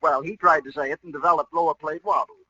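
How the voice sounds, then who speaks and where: A man's voice: a little high, fairly even intonation, fast, lightly acted. An actor playing a blustery, jolly, pompous lodge leader and businessman in a 1940s radio comedy. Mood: blustery, jovial. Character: blustery, secretive male lodge leader and real-estate boss. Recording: hissy, muffled old radio broadcast.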